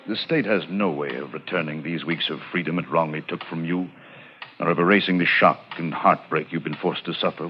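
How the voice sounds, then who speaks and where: An actor portrays a solemn, considerate prison warden in a deep voice. His speech is formal and measured. The sound is a dull 1940s radio drama recording. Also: slight background noise, close to an everyday voice. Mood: solemn sympathy. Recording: muffled vintage radio recording.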